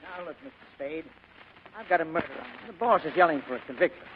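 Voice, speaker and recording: An actor playing an officious, self-important district attorney in old radio drama style, formal and insistent. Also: male voice, on the high side, lively intonation, fast, music in the background, natural voice. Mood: pressing. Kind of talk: 1940s-style radio acting. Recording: tinny, noisy old radio recording.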